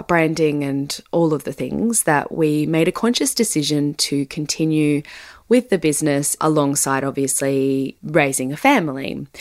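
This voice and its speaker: A woman podcast host, hoarse and raspy from being unwell, talking candidly in a conversational way. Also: low-pitched, no room sound, studio quality. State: hoarse from illness. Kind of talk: solo podcast monologue.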